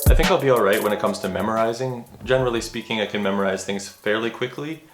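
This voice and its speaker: A man chatting casually to the camera in a room at home, self-deprecating and halting, with frequent restarts. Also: on the low side. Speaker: vlogger. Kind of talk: casual vlog talk to camera. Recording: roomy echo with faint music.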